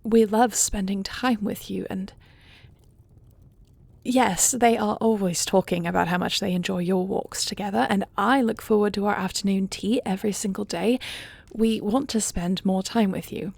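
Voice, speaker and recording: A woman playing a regal, tender dragon queen in an audio roleplay, speaking gently with formal, measured diction. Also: mid-range voice, fairly even intonation, creaky, slightly rough, dry recording. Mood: affectionate, gentle. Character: regal dragon queen, tender, earnest wife and would-be adoptive mother. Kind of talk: scripted audio roleplay drama.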